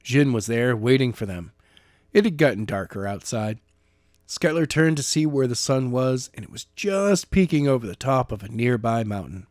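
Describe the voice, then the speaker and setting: A man's voice: medium pitch, expressive, moderate pace, a little mellow, no echo. Man reading a novel aloud, close and dry. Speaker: audiobook narrator.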